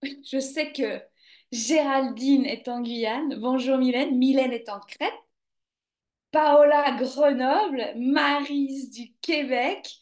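A woman's voice: high voice, slow, a little mellow, roomy sound. A woman yoga teacher hosting a casual live stream, chatting spontaneously with viewers and hesitating often. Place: home near the coast.

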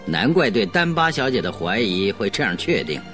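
An actor playing a composed, probing master detective in a radio drama, courteous and inquisitive. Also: male voice, on the low side, sing-song, normal speed, fairly bright tone, soft background music. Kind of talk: Chinese radio drama acting.

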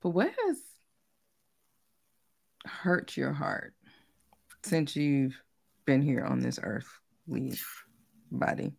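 A woman's voice: deep voice, very animated, extremely slow, vocal fry, long pauses, breathy edge. A woman leading a card reading in an intimate, conversational and affirming way. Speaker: card reader leading the session.

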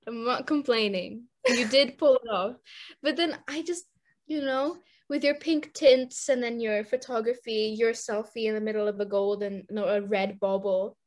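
A woman's voice: fairly high pitch, moderate pace. A young woman chatting casually with a friend, teasing her playfully. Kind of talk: casual chat.

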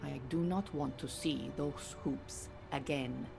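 A proud Iranian refugee woman with a low voice, in an audio drama. She speaks formally and precisely, dry and disillusioned, with music and rain behind her. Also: slow pace, vocal fry, darkish tone, full character voice. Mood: stern. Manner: formal, dry, exacting phrasing. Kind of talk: naturalistic audio drama acting.

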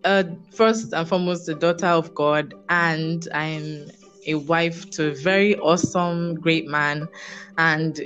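A woman's voice: sits low, steady tone, slow pace, a bit bright. A woman with a Nigerian accent speaking as a podcast guest, conversational and emphatic, repeating phrases for stress. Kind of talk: casual conversational interview answers. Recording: dull lo-fi audio, music behind.

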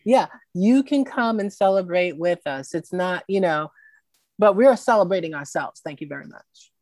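A woman who organizes a film festival, answering interview questions in an earnest, expressive way and often adding "right?". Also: fairly low pitch, moderate pace, no room sound.

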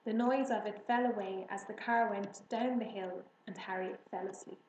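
Woman reading a kids book out loud, echoey room, faint noise behind. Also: mid-range voice, fairly even intonation, moderate pace. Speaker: storybook reader. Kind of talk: children's book narration. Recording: roomy echo, faint background noise.

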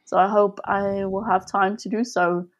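A woman's voice: mid-range voice, level tone, moderate pace, lo-fi sound. A young woman from Switzerland speaking as a guest in a casual interview, chatty and giggly as she thinks aloud. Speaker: podcast guest.

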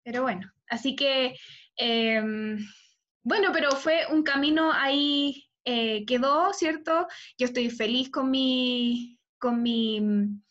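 High-voiced woman co-hosting a chat. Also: slow pace, a bit bright, highs cut off. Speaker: interview co-host, pageant titleholder. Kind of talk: casual interview chat.

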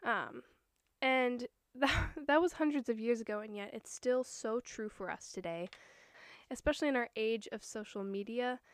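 A young woman with a slightly high voice and a hoarse throat from a cold hosts her own podcast. She talks in an earnest, chatty way and sounds a little nervous. The sound is dry and close, with no room echo. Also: moderate pace. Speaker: podcast host. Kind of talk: casual solo podcast talk.

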